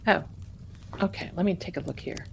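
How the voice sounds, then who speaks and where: A middle-aged woman casually chatting with her live-stream viewers as a tarot reader, rambling warmly and calling them her darlings. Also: fairly low pitch, moderate pace, creaky, a little hiss or hum.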